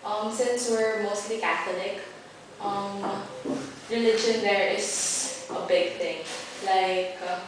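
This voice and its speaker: A woman's voice: mid-range voice, slow. Young woman chatting in a very echoey room. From Philippines. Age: young adult. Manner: chatty, animated storytelling with frequent giggles and "like". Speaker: interviewee, volunteer trainer. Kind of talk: casual interview chat. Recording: echoey room, distant mic, faint noise.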